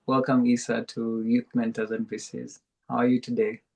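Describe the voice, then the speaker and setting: A man's voice: medium pitch, flat delivery, moderate pace, creaky, a little mellow. A man hosting a podcast interview, reading sponsor plugs and asking questions with lots of hesitant "um"s. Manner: hesitant, many "um"s. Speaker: podcast host.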